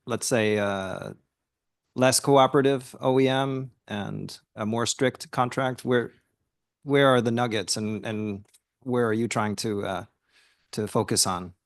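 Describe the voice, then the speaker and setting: A man's voice: medium pitch, measured pace. Man moderating an industry panel. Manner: inquisitive, lightly wry, hands questions to panelists.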